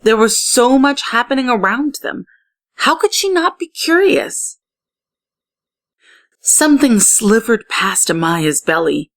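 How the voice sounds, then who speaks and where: A woman narrating a children's story in an expressive read-aloud style, putting emphasis on key words. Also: fairly high pitch, slow. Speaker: story reader.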